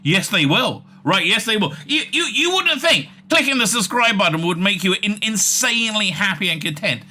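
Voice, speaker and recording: A man's voice: sits high, sing-song, moderate pace, pushed and bright. A man hosting his own online show, giving rambling, opinionated, jokey commentary, often digressing and repeating words and phrases. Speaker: YouTube show host; rabbi.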